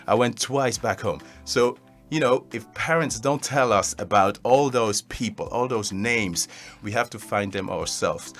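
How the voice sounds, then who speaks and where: A man's voice: fairly low pitch, fairly bright tone. A man born in Congo and raised in Switzerland, an actor talking earnestly and informally in an interview. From born in DR Congo, grew up in Switzerland. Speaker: actor being interviewed as cast member. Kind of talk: casual interview talk.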